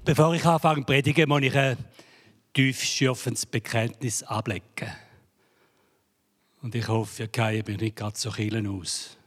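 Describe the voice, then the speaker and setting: A man's voice: medium pitch, fairly even intonation, moderate pace. A man preaching a sermon in a church hall, joking and building to dramatic emphasis. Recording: reverberant hall.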